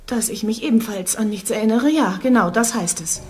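A woman's voice: on the high side, fairly quick, darkish tone, soft background music, natural voice. An actress playing a confused, wary young woman held captive in a radio play, guarded and insistent. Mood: testy. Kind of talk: German radio play acting.

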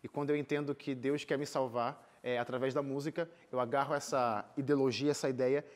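A man's voice: medium pitch, fairly even intonation, a little fast. A young man with a Brazilian accent telling personal stories informally and animatedly as an interview guest in a TV studio. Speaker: interview guest, singer and missionary volunteer. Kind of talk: casual personal storytelling in an interview. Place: TV studio, seated.

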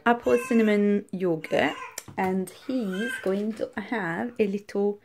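A young woman chatting casually to camera as a vlogging mum, recorded on a handheld phone with noise around her. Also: vocal fry. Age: young adult. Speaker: vlogger and mother. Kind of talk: casual vlog chat to camera. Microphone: handheld phone or camera at arm's length. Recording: noisy handheld vlog recording, car rumble.

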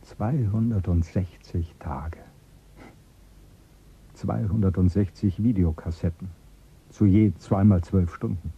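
An actor playing a calculating male politician with a husky voice in a radio play, speaking in a measured, persuasive way. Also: low-pitched, fairly even intonation, mellow, exaggerated voice. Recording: phone-like thin sound.

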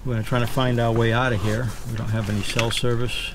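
An older man talking casually about a hike, relaxed and understated. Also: mid-range voice, quick pace. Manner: relaxed, understated, brief replies. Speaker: travel vlogger. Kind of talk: casual chat. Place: forest trail outdoors, then parked Jeep. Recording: noisy outdoor recording.

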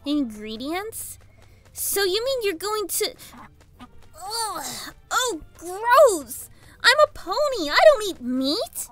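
A very high-pitched little girl pony villain for an audio drama. She is bratty and indignant, whining in exasperation with lively swings of pitch, over clear background music. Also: takes it slowly, full character voice. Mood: disgusted. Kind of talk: fan fiction audio drama acting.

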